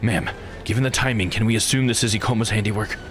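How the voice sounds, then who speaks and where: A man's voice: fairly low pitch, fairly even intonation, quick pace, music in the background, voice pushed down, natural voice. A man voicing a restrained, formal, deferential police subordinate in a visual novel game. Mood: dutiful.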